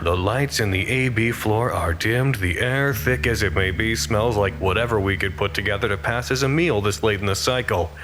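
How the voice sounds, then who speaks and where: A man's voice: fairly low pitch, moderate pace, hardly any pauses, lightly acted. A man playing an earnest, anxious facility worker who reports to command in a wordy, formal audio drama monologue. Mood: announcing.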